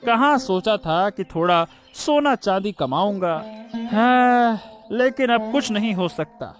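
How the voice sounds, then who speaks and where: A man's voice: sits high, lively intonation, slow, music in the background. A man playing a greedy, cowardly minister in a cartoon story, flustered and repeating his words nervously. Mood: worried, sulky.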